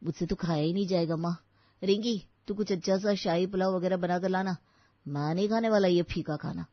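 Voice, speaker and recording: A woman's voice: on the low side, fairly quick, no room sound, natural voice. A cheeky young brother-in-law from a storybook, voiced by a woman, teasing and demanding. The recording is dry and a bit dull. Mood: grumbling.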